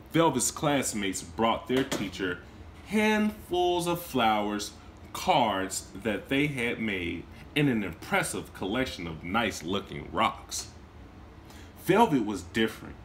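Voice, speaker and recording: A man in his thirties, a kindergarten teacher, reading a picture book aloud to children in a small room, close to a phone camera. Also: slight background noise. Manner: expressive storytelling with lively swings in pitch and volume; warm, encouraging talk to young children. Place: small indoor room, seated on a couch.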